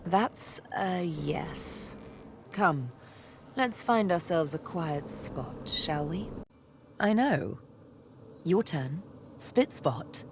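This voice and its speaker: An actress voicing a vengeful, seductive elf assassin in a video game, deliberate and teasing, often murmuring. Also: female voice, sits low, animated, vocal fry, a little mellow, a little hiss or hum, exaggerated voice. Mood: flirtatious, intimate.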